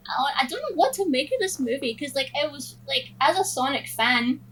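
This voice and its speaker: A woman co-hosting a podcast in casual chat, telling things in an expressive, giggly way. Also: high-pitched, moderate pace, slight background noise, rough recording. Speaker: podcast co-host.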